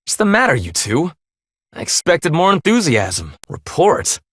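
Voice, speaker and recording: A man's voice: fairly high pitch, huge pitch swings, unhurried, a bit bright, breathy edge, no room sound, studio quality, exaggerated voice. A man voicing a stern, blunt young half-human leader in a video game, speaking in a clipped, formal, commanding way. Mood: shocked, demanding. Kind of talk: English video game voice acting.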